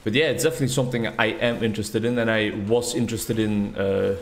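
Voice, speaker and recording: A man's voice: fairly low pitch, fast. A young man, a native Dutch speaker, chatting in a rambling, reflective way while commenting on his gameplay. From Netherlands or Flanders. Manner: rambling, reflective, self-deprecating. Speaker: gaming YouTuber. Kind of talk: casual commentary over gameplay.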